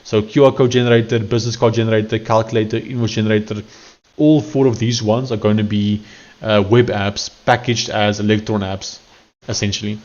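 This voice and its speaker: A man's voice: on the low side, flat delivery, brisk, darkish tone, highs cut off. A man from South Africa with an Afrikaans accent, chatting casually to a live-stream audience while he works on screen, rambling and correcting himself as he goes.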